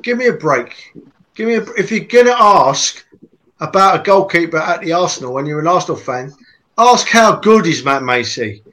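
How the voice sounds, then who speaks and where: Emphatic animated Englishman. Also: fairly high pitch, moderate pace. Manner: animated, emphatic, repeats himself for effect. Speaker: podcast co-host. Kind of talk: casual football chat.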